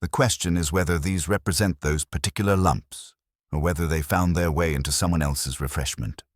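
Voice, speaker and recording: A man voicing a thoughtful, dryly observant police inspector in an audio mystery, measured and formal. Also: a bass voice, dry recording, clean studio sound. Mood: pondering.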